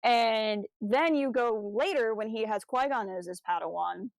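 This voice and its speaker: A woman's voice: fairly high pitch, unhurried, dry recording, low-quality recording. A woman co-hosting a podcast in casual fan discussion, phrasing hesitantly with lots of "like". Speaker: podcast co-host.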